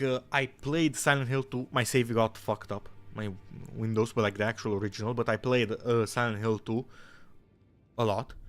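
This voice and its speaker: A young man from Romania, chatting casually as a gaming streamer, rambling, swearing and saying "bro" a lot. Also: medium pitch.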